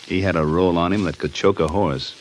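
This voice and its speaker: A man acting a streetwise small-time private investigator in an old-time radio drama, gruff, with slangy tough-guy talk. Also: sits low, steady tone, a little fast, close to an everyday voice. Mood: affable. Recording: noisy vintage radio recording.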